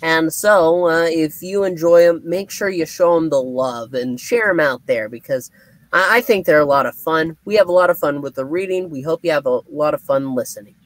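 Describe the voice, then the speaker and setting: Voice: mid-range voice, moderate pace, no room sound. A puppet show host giving a casual, chatty sign-off on a livestream.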